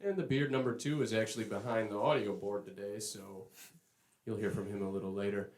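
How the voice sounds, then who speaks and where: A man hosting a casual sports talk show, speaking in a relaxed, unhurried way with frequent "uh" and "um". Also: lowish voice. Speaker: podcast host.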